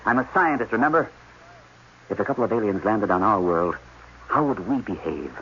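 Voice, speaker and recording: An actor playing an embittered, fatalistic scientist held captive, speaking emphatically in a 1980s radio drama. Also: male voice, lowish voice, animated, a little fast, fairly bright tone. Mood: bitter, agitated. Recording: dull, noisy old radio broadcast.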